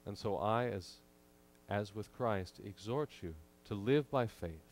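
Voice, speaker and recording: A man in his thirties preaching a sermon from a church pulpit, measured and emphatic with frequent pauses, into a pulpit microphone. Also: on the low side, expressive, slight background noise. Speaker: pastor.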